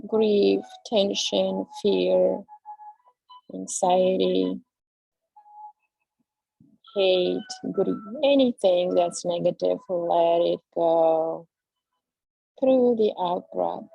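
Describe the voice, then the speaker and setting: Slow, gravelly-voiced woman yoga teacher. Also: medium pitch, dark tone, no echo, muffled recording. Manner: slow, soothing, drawn-out cues. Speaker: yoga instructor. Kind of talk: guided yoga class instruction.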